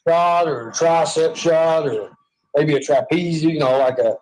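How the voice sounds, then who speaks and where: A man's voice: fairly high pitch, a little slow, darkish tone, poor audio. A man with a Southern US accent, chatting and joking in a rambling, self-mocking way from a hospital room.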